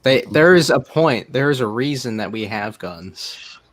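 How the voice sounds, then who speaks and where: A man in a casual group chat, talking in a wry, deadpan way. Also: mid-range voice, unhurried, no echo.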